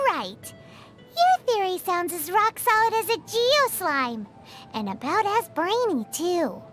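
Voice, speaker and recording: A woman voicing a tiny, cheeky, excitable fairy companion in a video game, with a squeaky childlike voice and a cutesy manner. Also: slow pace, soft background music, heavily acted. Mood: teasing. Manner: cutesy, refers to self in third person. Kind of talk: video game character voice lines.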